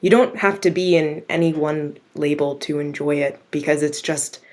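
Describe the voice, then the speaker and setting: A young woman, a script writer, talking casually in an interview, animated and quick to laugh. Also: low voice. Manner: animated, laughs often, acts out her own thoughts.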